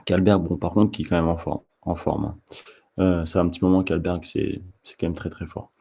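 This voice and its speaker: A man who hosts his own channel, giving casual spoken commentary straight to the viewer, often halting and restarting mid-sentence. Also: low-pitched, fairly even intonation, vocal fry, mellow, husky. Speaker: table tennis coach and channel host.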